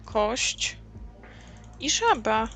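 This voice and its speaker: A woman's voice: on the high side, very animated, extremely slow, a bit bright. A woman doing casual let's-play commentary, muttering to herself as she plays. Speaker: game streamer.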